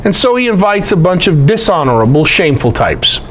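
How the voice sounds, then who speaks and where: A man's voice: sits high, very animated, fairly quick, slight background noise, rough recording. A man giving a conversational Bible lecture to a group, emphatic, posing rhetorical questions.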